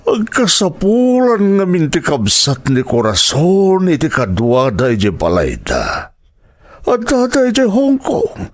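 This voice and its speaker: A man's voice: sits high, wildly swooping pitch, slow, full character voice. A man playing a fatherly older boss in an audio drama, encouraging and coaxing, with a Filipino accent. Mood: persuasive. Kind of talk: Ilocano audio drama acting.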